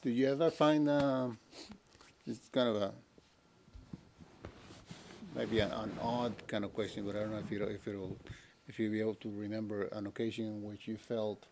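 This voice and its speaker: A male interview host who asks gentle, reflective questions and murmurs soft "mm"s as he listens. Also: lowish voice, fairly even intonation, moderate pace, pauses often, a little hiss or hum. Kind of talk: casual interview.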